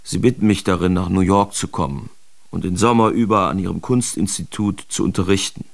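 An actor playing a German artist who tells of his absurd nightmare in a wry, uneasy way, as theatrical first-person radio drama narration with a gravelly voice. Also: low voice, fairly even intonation, brisk. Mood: matter-of-fact. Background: music behind the voice.

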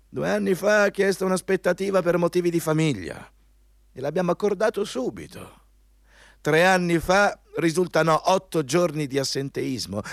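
Pompous boss, voice swooping in pitch. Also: male voice, no room sound, studio quality. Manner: pompous, insistent, hammering repeated commands. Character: overbearing, condescending male company boss. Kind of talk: Italian radio play acting.

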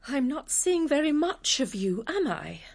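An actress playing a devoted singer, tender but sharp-tongued when hurt, in a radio drama. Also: female voice, sits high, sing-song, measured pace, gravelly, heavily acted. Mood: strained, reproachful. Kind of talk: broadcast radio drama acting.

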